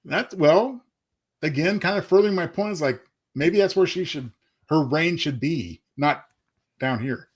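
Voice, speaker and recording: A man's voice: on the high side, expressive, unhurried, breathy edge. A man co-hosting in casual chat, with a wry, mock-exasperated way of talking. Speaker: radio show co-host.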